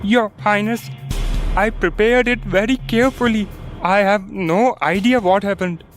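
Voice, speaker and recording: Man acting a higher-voiced palace servant. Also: measured pace, faint music behind. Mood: respectful, defensive. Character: dutiful palace servant, soldier and cook to a king. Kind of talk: animated children's story acting.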